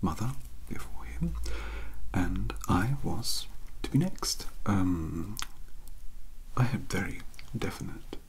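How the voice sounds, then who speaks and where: A man playing a young, bookish, awkward English mentor in a soft-spoken ASMR roleplay, hesitant, polite and rambling. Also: low voice, expressive, slow pace, a little hiss or hum, voice pushed up, heavily acted. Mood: earnest, hesitant.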